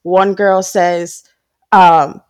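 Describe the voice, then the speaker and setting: A chatty woman talking shop as a guest on a podcast, with a lowish voice. She slips into little voices when she quotes people and laughs easily. The recording is close and dry, with no room sound. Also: level tone, very slow, smooth, dry recording. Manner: chatty, acts out quoted dialogue, laughs often. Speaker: lash artist and trainer, podcast guest. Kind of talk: casual interview chat. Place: based in Tampa.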